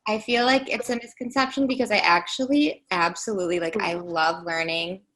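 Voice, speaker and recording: A woman in her twenties co-hosting a podcast, bubbly and upbeat, with a high voice, chatting casually. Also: fairly even intonation, fairly quick, a bit bright, slight background noise. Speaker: podcast co-host. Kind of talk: casual chat.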